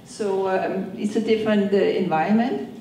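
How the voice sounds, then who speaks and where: A middle-aged woman with a Danish accent, answering interview questions on stage and often pausing on ums and restarts. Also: mid-range voice, warm tone, slight background noise. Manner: hesitant, many ums and restarts. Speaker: company co-founder, guest. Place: event hall. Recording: echoey hall from far off.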